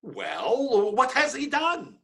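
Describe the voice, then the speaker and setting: A woman playing a worldly, grumbling brothel madam in a staged Shakespeare reading, with theatrical, drawn-out delivery. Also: high voice, sing-song, full character voice. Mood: inquisitive.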